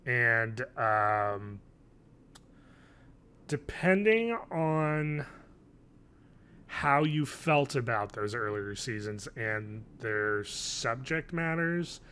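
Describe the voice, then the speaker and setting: A man's voice: mid-range voice, animated, very slow, long pauses, pushed and bright, smooth. A man in his thirties co-hosting a casual podcast review, talking in a hesitant, meandering way and often correcting himself. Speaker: podcast co-host. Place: living room at home, indoors. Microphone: video call, wearing headphones.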